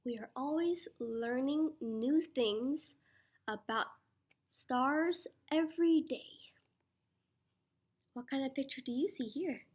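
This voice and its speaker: A woman preschool teacher reading a children's science picture book aloud, putting questions to her young listeners in an animated, encouraging way. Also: high voice, slow, long pauses. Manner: child-directed, asks questions and answers them herself.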